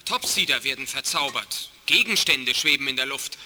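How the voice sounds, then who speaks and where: A man's voice: medium pitch, lively intonation, normal speed, bright, forceful tone. An actor, a man, playing a pompous alien admiral in a radio play, declaiming his words. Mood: agitated report. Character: alien reptile fleet admiral, pompous, turning mutinous.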